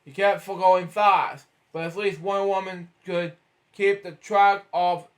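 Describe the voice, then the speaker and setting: A man's voice: sits high, level tone, takes it slowly. A man reading a children's storybook aloud, stumbling over words and breaking off for rambling asides of his own. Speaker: storybook reader.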